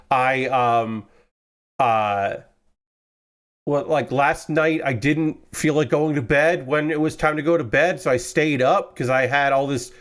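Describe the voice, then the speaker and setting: A young man casually chatting with his stream viewers while building model kits, rambling and correcting himself, a little congested after a cold. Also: on the high side. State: slightly congested, recovering from a cold. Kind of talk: casual livestream chat. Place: his room with window open.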